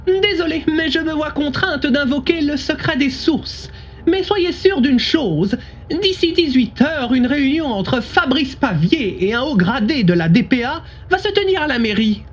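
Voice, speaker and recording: A woman's voice: high-pitched, expressive, a little slow. A woman playing a blunt, self-assured journalist interviewed on the radio in an audio drama. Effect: heard through a car radio. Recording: muffled radio broadcast sound.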